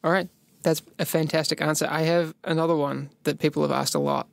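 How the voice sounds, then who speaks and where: A man's voice: a little high, little pitch movement, fairly quick, gravelly. A man with a New Zealand accent hosting a casual podcast chat, joking and laughing often. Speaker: podcast host.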